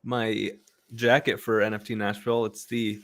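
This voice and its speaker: A man's voice: lowish voice, little pitch movement, moderate pace, fairly bright tone. A man chatting casually from a home room on a studio mic, laid-back and calling people bro. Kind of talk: casual chat. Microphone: studio mic on arm.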